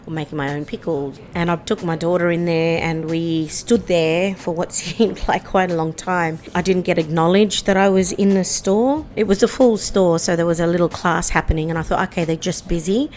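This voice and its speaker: A woman's voice: on the low side, fairly even intonation, normal speed, hardly any pauses, a little mellow, a little hiss or hum. A middle-aged woman telling a personal story in a reflective, firm, conversational way. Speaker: interviewee.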